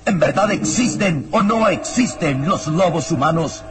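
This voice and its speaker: A man's voice: fairly high pitch, animated, unhurried, heavy background noise. A man narrating a radio serial from a script in a dramatic, suspenseful and emphatic style. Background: dramatic music.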